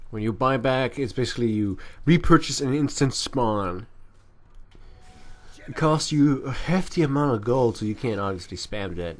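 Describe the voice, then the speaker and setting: A man talks over a video game replay as an amateur commentator. His delivery is casual and rambling, with a self-deprecating streak. The voice sits at an ordinary middle pitch for a man.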